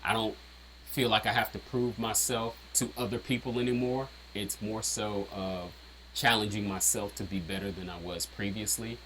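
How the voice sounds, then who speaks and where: A man with a deep voice casually breaking down his own rap lyrics, rambling with lots of "um" and "you know". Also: steady tone, normal speed, fairly bright tone, a little hiss or hum, low-quality recording. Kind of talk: casual explanatory chat.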